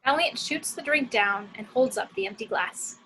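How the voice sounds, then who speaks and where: A woman's voice: a little high, animated, moderate pace, pushed and bright, slight background noise, low-quality recording. A woman reading scripted action descriptions as the narrator of a screenplay table read.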